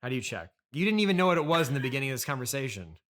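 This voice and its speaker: A man with a medium-pitched voice interviews casually as a podcast host. He plays the straight man, probing with follow-up questions and hesitating as he feels out his phrasing. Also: big pitch swings, racing, a bit bright. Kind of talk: casual improvised comic interview.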